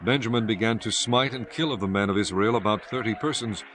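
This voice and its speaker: A man narrating scripture for an audiobook in a formal, measured storytelling manner. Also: on the low side, fairly bright tone, a little hiss or hum.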